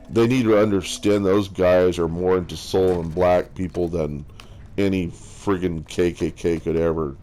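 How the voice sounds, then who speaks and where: Guy with a big low bass voice, mellow, slow, lots of ums and restarts, just rambling. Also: a little hiss or hum. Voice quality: strong bass voice. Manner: halting, with many fillers and restarts. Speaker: streamer. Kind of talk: casual rambling chat.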